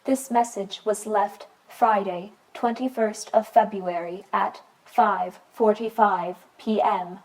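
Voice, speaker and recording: Woman as a slow, measured answering machine voice. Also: medium pitch, poor audio. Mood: impersonal. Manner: flat, measured, formal. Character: impersonal answering machine announcer. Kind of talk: automated voicemail announcement.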